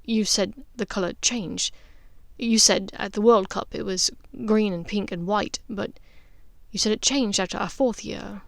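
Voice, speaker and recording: A woman's voice: mid-range voice, unhurried, gravelly, no echo. Woman reading as guarded young wizard son. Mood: guarded, curious.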